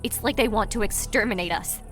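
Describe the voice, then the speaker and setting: A man puts on a young female ninja master for a fantasy audiobook. The voice is lifted well up into a woman's range, earnest and urgent, with music clearly behind it. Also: high-pitched, a little fast, fairly bright tone, pitched above the performer's own voice. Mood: alarmed. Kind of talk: fantasy audiobook character acting.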